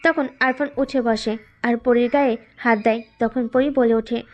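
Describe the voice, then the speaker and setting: Casting: female narrator, high voice, scripted third-person romance storytelling. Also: fairly even intonation, normal speed, muffled recording.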